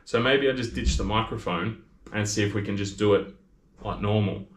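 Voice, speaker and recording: A man's voice: on the low side, moderate pace, reverberant. An Australian man in his thirties chatting casually on a livestream, rambling between topics and reading out viewer comments, picked up by camera audio in his workshop. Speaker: maker hosting a livestream.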